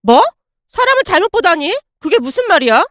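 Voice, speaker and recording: A woman's voice: very high voice, very animated, brisk, a bit bright, no echo, studio quality, pitched above the performer's own voice, full character voice. A woman voicing an entitled, indignant young ex-girlfriend in a dramatized story, whining and demanding. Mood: offended.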